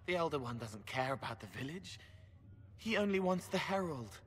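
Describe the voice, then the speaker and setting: An actor playing a strange, gentle young man in a video game, speaking earnestly in halting, childlike phrases. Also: a little high, lively intonation, husky. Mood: troubled earnestness. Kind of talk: dramatic video game acting. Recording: long hall reverb, background ambience noise.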